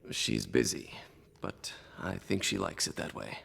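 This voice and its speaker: An actor playing a weary, dry alien ex-information trader in a video game, with a gravelly rasp and clipped delivery. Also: male voice, deep voice, animated, measured pace, a bit bright, a little hiss or hum, exaggerated voice. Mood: subdued, fond. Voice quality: gravelly, rasping.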